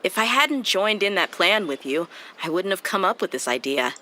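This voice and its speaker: A woman's voice: on the low side, very animated, moderate pace, noisy background. A woman voicing a tough, self-assured young mercenary in a video game, frank and unshakeable. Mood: confident, frank. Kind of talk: video game character acting. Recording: music behind the voice.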